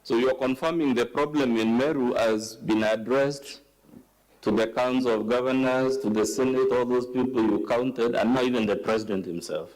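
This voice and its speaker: A Kenyan man acting as counsel, asking deliberate, leading questions at a formal hearing. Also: medium pitch, darkish tone, poor audio. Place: legislative chamber hearing.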